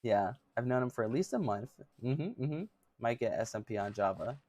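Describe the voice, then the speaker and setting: A man in his thirties with a somewhat high-pitched voice, chatting casually with livestream viewers in a giggly, chatty, self-mocking way. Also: lively intonation, moderate pace, a little mellow, no room sound. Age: 30s. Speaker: streamer.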